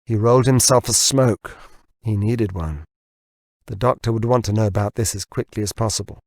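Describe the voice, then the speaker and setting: A man's voice: lowish voice, normal speed, a little mellow, no room sound, clean studio sound. A man reading scripted fiction as an audiobook narrator.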